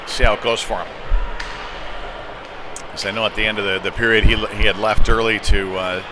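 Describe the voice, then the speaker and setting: A man's voice: lowish voice, little pitch movement, brisk. A man doing informal, conversational live play-by-play commentary of a hockey game. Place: indoor community ice rink. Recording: noisy ice arena ambience.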